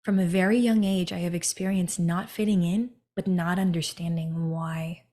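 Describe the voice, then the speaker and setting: A woman hosting a podcast, telling her own story in an earnest monologue and often turning to the listener with a quick "right?". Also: fairly low pitch, fairly even intonation, mellow. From United States. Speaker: podcast host.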